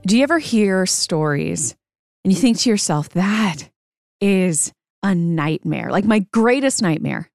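A woman's voice: lowish voice, expressive, a little slow, creaky, darkish tone, dry recording, clean studio sound, faint music behind. A woman hosting an interview show, speaking in an earnest, emphatic way to introduce and talk with a guest. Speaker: host. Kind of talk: scripted intro and conversational interview.

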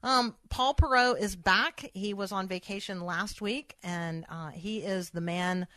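Female radio host for faith programming: chatty, encouraging, medium pitch, close dry sound, speaks straight to listeners. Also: unhurried, a bit bright, no echo.

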